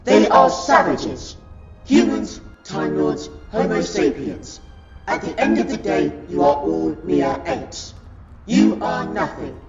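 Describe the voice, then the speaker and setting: A haughty reptilian alien noble, performed by a man in a sci-fi audio drama. His voice is gravelly and animated, full of formal, pompous scorn. It sounds dull and echoey, buried under very loud music. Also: a little high, slow pace, heavily acted. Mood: scornful, outraged. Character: haughty, contemptuous reptilian Silurian male in royal court.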